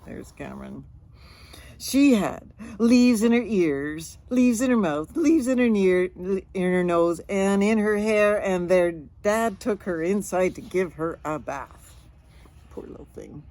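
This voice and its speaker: A woman's voice: mid-range voice, lively intonation, takes it slowly, darkish tone. A woman reading a children's picture book aloud with chatty asides, stretching words and sound effects expressively.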